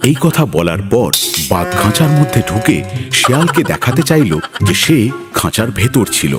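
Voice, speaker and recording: A man narrating an animated moral fable as a measured storyteller. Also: mid-range voice, pitched below the performer's own voice, lightly acted. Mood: calm telling. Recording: music under the voice.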